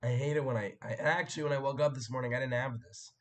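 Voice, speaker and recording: A young man hosting a casual live stream, his voice hoarse and cracking after a sore throat, rambling and clearing his throat as he chats with viewers. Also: mid-range voice, fairly even intonation, fast, a bit bright. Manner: rambling, chatty, frequent fillers and throat clearing.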